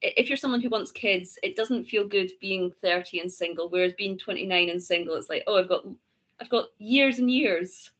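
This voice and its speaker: A thirty-year-old woman with a mild Scottish accent, hosting a casual chat, talkative and quick to correct herself. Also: mid-range voice, fairly bright tone. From Scottish-English border. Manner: chatty, laughs often, self-correcting. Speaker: host.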